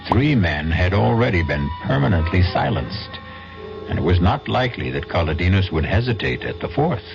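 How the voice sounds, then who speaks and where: A man with a very deep voice narrates an old radio mystery as its host. The delivery is scripted and dramatic. The recording is dull, with loud music behind him. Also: sing-song, moderate pace, creaky.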